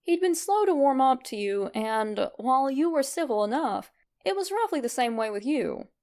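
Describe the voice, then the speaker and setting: A woman reading fan fiction aloud as narrator, in an expressive scripted storytelling style. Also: sits high, moderate pace.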